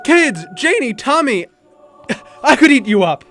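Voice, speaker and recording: A man's voice: very high voice, big pitch swings, unhurried, a bit bright, soft background music, full character voice. A man playing an overjoyed husband and father, frantic with love, in a radio drama. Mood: exuberant, frantic.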